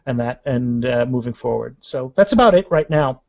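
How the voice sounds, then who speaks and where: A man's voice: medium pitch, animated, moderate pace, creaky, no echo, dull audio. A man speaking as an author and interview guest, telling anecdotes in a casual, rambling conversational way.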